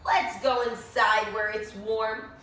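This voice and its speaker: A woman voicing an anxious, caring mother in a children's storybook, firm but gentle. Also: on the high side, steady tone, takes it slowly. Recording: echoey room, distant mic, faint noise.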